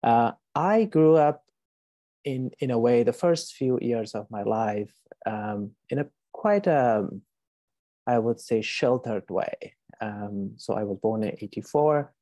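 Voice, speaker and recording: A man, an author presenting as a guest over a video call, gentle and hesitant with many fillers. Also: medium pitch, expressive, takes it slowly, no echo. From Afghanistan. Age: 30s.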